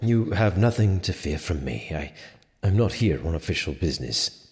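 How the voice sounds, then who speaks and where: An actor playing a stern, dutiful medieval sheriff in an audio drama, with a formal, firm manner. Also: male voice, deep voice, lively intonation, moderate pace. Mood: guarded, firm. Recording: echoey hall reverb with music.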